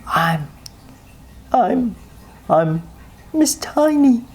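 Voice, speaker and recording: A woman voicing a tiny, timid little female storybook character in a small, squeaky voice. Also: big pitch swings, drawn-out words, a little mellow, husky, full character voice.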